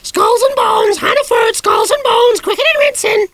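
A screeching frantic parrot. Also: male voice, very high voice, moderate pace, fairly bright tone, no echo, pitched above the performer's own voice. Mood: frantic shrieking. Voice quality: screeching, squawking. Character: shrieking male parrot, frantic and raucous.

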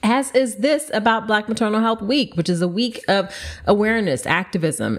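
Low-pitched, slightly stuffy woman podcast host. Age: middle-aged. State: slightly stuffy nose from allergies. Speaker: podcast host and OB-GYN doctor. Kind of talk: scripted solo podcast narration with conversational asides.

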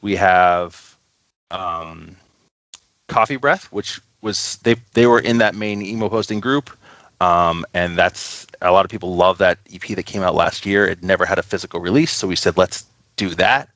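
A man's voice: deep voice, normal speed, a bit bright, no room sound. A man speaking casually as a podcast guest, rambling enthusiastically through stories about his work. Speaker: podcast guest, record label co-founder. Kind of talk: casual interview chat.

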